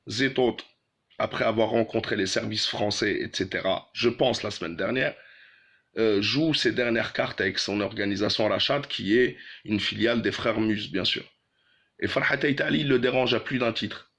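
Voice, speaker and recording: Low-voiced, outspoken man in informal commentary. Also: fairly bright tone. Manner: outspoken, opinionated, halting with repeated phrases for emphasis. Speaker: political commentator addressing followers. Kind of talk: informal spoken political commentary, unscripted.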